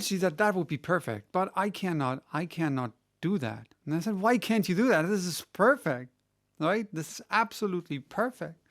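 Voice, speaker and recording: A middle-aged man casually telling a story, rambling with many restarts and getting animated when he retells a conversation. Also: on the high side, vocal fry. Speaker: audiobook reader answering questions. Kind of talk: casual monologue.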